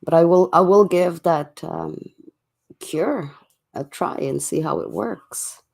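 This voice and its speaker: A livestream host chatting casually and in a laid-back way to viewers late at night, with a voice going hoarse from a cough. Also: medium pitch, takes it slowly, darkish tone. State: sick with a cough, voice getting hoarse.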